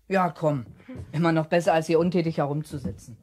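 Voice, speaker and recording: A man's voice: on the high side, a little fast, heavy background noise, natural voice. Earnest boy detective played by a young male. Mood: earnest, restless. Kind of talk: 1970s German children's radio play acting.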